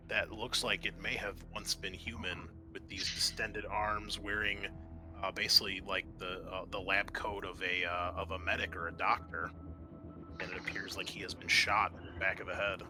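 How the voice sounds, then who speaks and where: A man working as game master, narrating a roleplaying session in a halting, conversational way. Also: lowish voice, level tone, music in the background.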